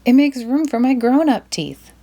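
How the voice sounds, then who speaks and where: Proud young boy bear for a picture book, voiced by a woman: eager, friendly, swooping high pitch. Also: animated, normal speed, mellow. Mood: matter-of-fact.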